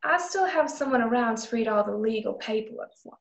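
A woman's voice: a little high, lively intonation, a little fast, vocal fry. A woman playing a haughty, condescending widow who runs a frontier business, in a radio drama. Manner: condescending, imperious. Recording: roomy, echoing home recording.

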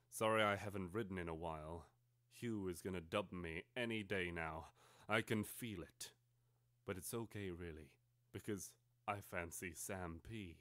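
A man voicing a melodramatic twelve-year-old schoolgirl confiding in her diary, theatrical and overwrought, swinging between hushed and exclaiming. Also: on the low side, no room sound. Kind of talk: theatrical dramatic reading of a teenage diary.

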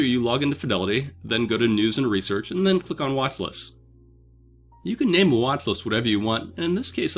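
A man's voice: mid-range voice, creaky, fairly bright tone. A man hosting a dividend investing channel, reading a scripted, conversational explainer while slightly under the weather. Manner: upbeat, conversational, explaining step by step. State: slightly sick, voice a bit off.

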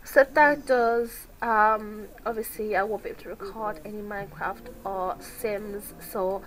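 A woman's voice: medium pitch, steady tone, a little slow, low-quality recording. Woman with a cold, chatting. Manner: chatty, talks to her game characters. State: sick with a cold. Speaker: let's play host. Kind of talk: casual gameplay commentary.

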